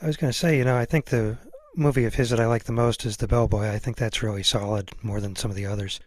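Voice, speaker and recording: A man's voice: mid-range voice, fairly even intonation, quick pace, a little mellow, dry recording. A man hosting a podcast, chatting casually and a little tentatively as he interviews a guest. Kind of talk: casual chat.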